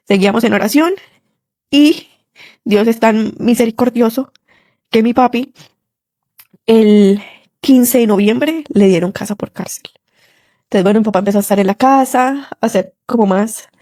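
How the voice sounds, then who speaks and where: Creaky-voiced young Colombian woman giving testimony. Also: medium pitch, a little mellow, no echo, studio quality. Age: young adult. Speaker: listener sharing a testimony. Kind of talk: personal spoken testimony.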